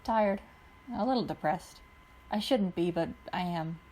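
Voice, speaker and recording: A woman's voice: lowish voice, a little slow, dark tone, rough recording. A woman reading aloud gives voice to a tired, stressed teenage girl. She talks in a weary, blunt way.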